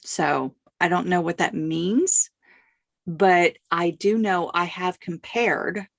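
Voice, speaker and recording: Woman podcast host, slow and kinda hesitant, lower voice, dry sound. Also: creaky, no echo. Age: young adult to middle-aged. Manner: unhurried, hesitant, many fillers and affirmations. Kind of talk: casual podcast conversation.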